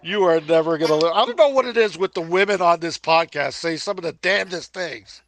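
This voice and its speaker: A man's voice: on the high side, expressive, a bit bright. Easygoing podcast host man. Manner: easygoing, laughs often, quick asides. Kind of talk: casual chat.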